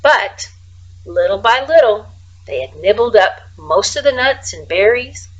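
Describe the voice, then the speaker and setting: A woman reading a children's storybook aloud like a teacher, expressively, with casual, hushed asides. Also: medium pitch, very animated, unhurried, dull audio.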